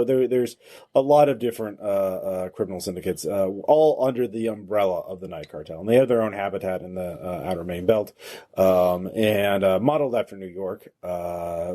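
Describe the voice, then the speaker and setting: Halting, low-voiced man running a game. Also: warm tone. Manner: halting, frequent hesitations. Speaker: game master, host. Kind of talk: unscripted game narration.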